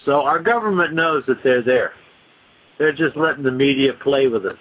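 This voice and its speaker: A man calling in to a radio show, talking slowly and emphatically. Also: medium pitch, expressive. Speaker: caller. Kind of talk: call-in radio talk. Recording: tinny phone line.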